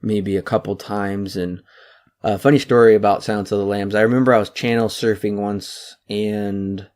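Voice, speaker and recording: A man's voice: lowish voice, fairly even intonation, moderate pace, darkish tone. A young man co-hosting a podcast in casual chat, talking steadily and reeling off dates and figures. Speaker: podcast co-host.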